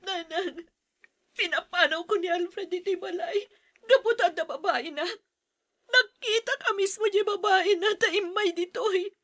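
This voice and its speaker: A woman's voice: very high voice, unhurried, fairly bright tone, no echo, clean studio sound. A woman playing a betrayed, anguished wife with a fierce temper in a radio-style melodrama, emotional and confrontational.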